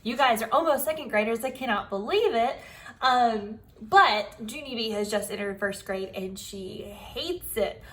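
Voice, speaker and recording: A woman teacher giving an expressive storybook read-aloud, speaking directly to young pupils. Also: a little high, unhurried. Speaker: primary school teacher.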